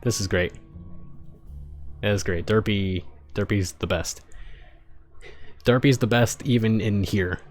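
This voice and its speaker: A man with a fairly low voice reads a story aloud like an amateur narrator, with casual delivery. He now and then trips on a phrase and repeats it. Soft guitar music plays behind him. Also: takes it slowly, long pauses. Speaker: reader of fan fiction, channel host. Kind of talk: expressive amateur story reading with casual asides.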